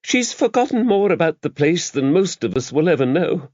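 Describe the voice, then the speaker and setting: Audiobook nun, played by a man: genteel, forthright, precise, very animated intonation. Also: on the high side, normal speed, dry recording, dull audio, voice pushed up. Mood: brisk, helpful. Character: outgoing, chatty nun, gently forthright woman. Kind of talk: audiobook character dialogue.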